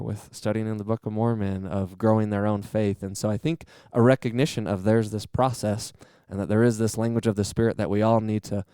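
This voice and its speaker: Smooth, dark-voiced enthusiastic man. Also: on the low side, no echo, clean studio sound. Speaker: missionary training center manager, podcast guest. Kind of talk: earnest conversational explanation.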